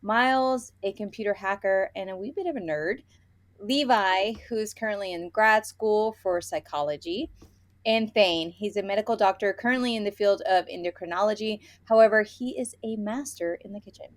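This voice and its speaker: A woman's voice: mid-range voice, normal speed, dry recording. A woman co-hosting a podcast, chatting casually about books in a playful, informal, gushing way. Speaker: podcast co-host. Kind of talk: casual book review chat.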